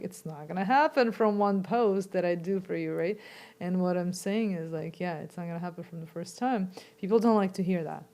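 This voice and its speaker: Mellow-voiced chatty woman guest. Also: lowish voice. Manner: chatty and affirming, many quick "yeah"s, animated quoting of her own thoughts. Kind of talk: casual conversational interview.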